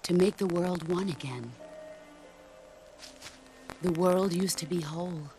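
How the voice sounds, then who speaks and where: Game villain-mentor, a middle-aged woman soldier: solemn, philosophical, lowish voice, measured monologues over clear music. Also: mellow. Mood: grave, reflective. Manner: measured, grave, philosophical delivery. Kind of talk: dramatic video game cutscene monologue.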